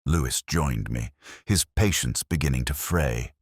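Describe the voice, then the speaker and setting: A man narrating as a brooding, reflective English detective, in measured, literary, melancholy first-person mystery storytelling. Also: extremely low pitch, breathy edge, dry recording, studio quality, exaggerated voice.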